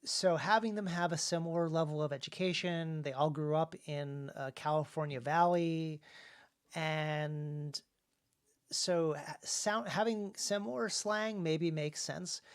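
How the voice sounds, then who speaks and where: A man co-hosting a casual livestream discussion as an author, in a halting, discursive way that often restarts phrases. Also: fairly high pitch, smooth. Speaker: author and co-host of a writing talk show.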